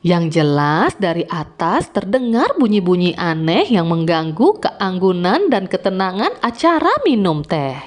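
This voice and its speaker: A woman tells a children's story at an unhurried pace, with a very lively, swooping pitch. Her delivery is deliberate and expressive, and soft music plays behind her. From Indonesia. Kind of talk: scripted fairy-tale narration for children.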